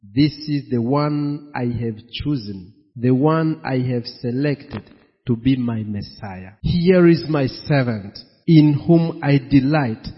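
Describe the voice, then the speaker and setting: A pastor preaching a sermon, slow and emphatic, repeating his key phrases. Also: medium pitch, slightly rough. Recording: dull, echoey hall.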